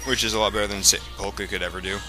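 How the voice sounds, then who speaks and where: A man giving casual, rambling video game commentary, explaining things in a halting way as he plays. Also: fairly low pitch, fairly even intonation. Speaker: let's play commentator. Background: video game music.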